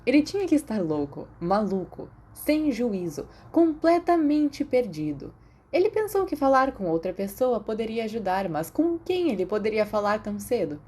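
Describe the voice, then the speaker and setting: A woman with a Brazilian accent reading fiction aloud as an expressive scripted narrator. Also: fairly high pitch, normal speed, husky. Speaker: audiobook-style narrator of fan fiction.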